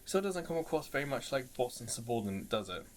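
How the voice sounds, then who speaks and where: A man's voice: medium pitch, very animated, fast, slight background noise. A man giving casual let's play commentary on a visual novel, musing aloud and often asking questions as he goes. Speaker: gaming video host. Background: game music with mallet percussion.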